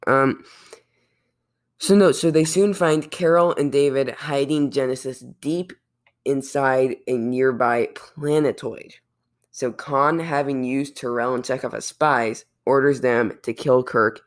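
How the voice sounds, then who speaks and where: A man's voice: mid-range voice, steady tone, measured pace. A teenage male podcast co-host recapping a film in a casual, loose and jokey way. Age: teens.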